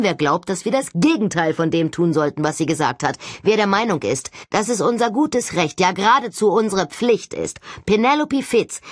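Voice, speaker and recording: A woman's voice: fairly low pitch, no room sound, studio quality. A woman voicing a confident young man who leads his team in an audiobook, cheerfully commanding and rhetorical. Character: confident, breezy young male agency leader rallying team.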